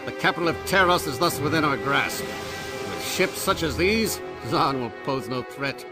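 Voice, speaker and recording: A man's voice: fairly high pitch, animated, moderate pace, loud music behind the voice, natural voice. Proud, theatrical naval commodore, acted by a man. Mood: proud, confident. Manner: formal, theatrical military declamation. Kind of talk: dramatic game cutscene monologue.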